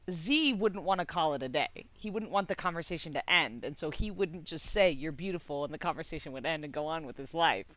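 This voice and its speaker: A woman giving casual live game commentary, excitable and giggly, swearing and meandering as she thinks aloud. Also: sits low, highs cut off. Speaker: game streamer.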